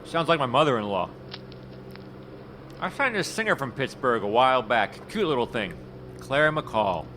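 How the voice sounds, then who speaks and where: An actor playing a middle-aged talent scout in an audio drama, nervous and talkative. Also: male voice, mid-range voice, sing-song, moderate pace, pauses often, bright, forceful tone, a little hiss or hum. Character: tenacious male talent scout, fast-talking and anxious. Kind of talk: radio drama acting.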